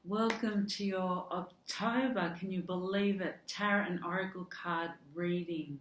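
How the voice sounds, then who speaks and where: Slow-talking Australian woman, voice fading. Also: fairly low pitch, fairly even intonation. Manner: slow, chatty, with soft laughs and "um" fillers. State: losing her voice. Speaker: tarot card reader. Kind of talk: casual direct-to-camera tarot reading. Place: home room with garden work outside. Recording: echoey room, faint outdoor garden work noise.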